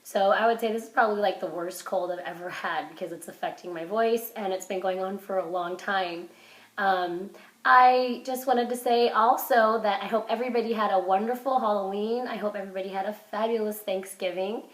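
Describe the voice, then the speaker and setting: A woman vlogging casually while sick with a cold, her voice hoarse and congested. She rambles with lots of fillers and restarts, keeping an upbeat, self-encouraging tone. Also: mid-range voice, a little fast. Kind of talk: casual vlog chat. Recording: roomy echo.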